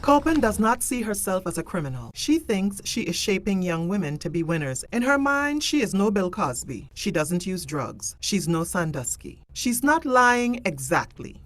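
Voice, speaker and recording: A woman playing a grave, sorrowful narrator in a staged drama, speaking in a deliberate, pointed way. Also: mid-range voice, sing-song, natural voice. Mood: accusing. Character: grave, sorrowful all-knowing female narrator of abuse drama.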